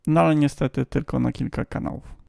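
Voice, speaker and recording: A man's voice: mid-range voice, steady tone, brisk, gravelly, darkish tone, no room sound, studio quality. A man giving a casual, playful, tongue-in-cheek explainer voice-over as a hobbyist video host.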